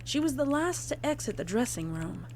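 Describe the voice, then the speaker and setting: A woman reading a scripted report in a formal, measured way as a narrator. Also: fairly high pitch, very animated, a little hiss or hum. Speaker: narrator of a horror fan fiction reading.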